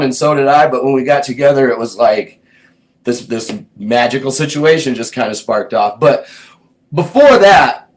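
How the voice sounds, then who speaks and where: A man's voice: medium pitch, rough recording. A man hosting a show, casually recounting his own past in a laid-back, meandering way, thinking aloud as he goes. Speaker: host recounting his music career. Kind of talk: casual personal storytelling.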